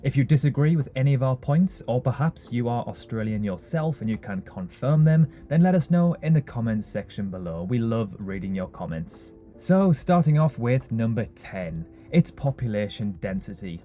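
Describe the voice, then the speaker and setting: Mellow British male narrator. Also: medium pitch, big pitch swings, normal speed, few pauses, faint music behind. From Britain. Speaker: list video narrator. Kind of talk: scripted narration.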